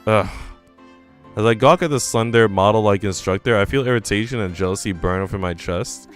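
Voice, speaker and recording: A man's voice: on the low side, brisk, clear, resonant tone, soft background music. A man reading visual novel narration aloud in a live, casual way, now and then restarting a line.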